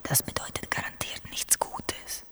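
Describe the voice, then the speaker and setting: A woman's voice: measured pace, pushed and bright, in a whisper. An actress playing a snide, gossiping orchestra musician in a radio play, muttering under her breath. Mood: secretive.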